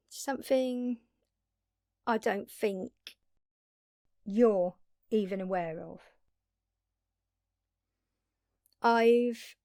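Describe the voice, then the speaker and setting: Woman acting as blunt vampire woman, earnest and pleading, slow halting delivery, dramatic pauses, close dry sound, audio drama. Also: mid-range voice, a little mellow, dry recording. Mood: hesitant, intrigued. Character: earnest, blunt vampire woman, long-lived magic consultant. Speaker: voice actor.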